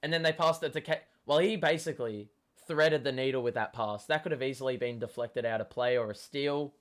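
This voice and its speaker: A young man hosting a live basketball watchalong, swearing often and yelling at big plays, his voice going hoarse and cracking. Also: medium pitch, brisk. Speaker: host.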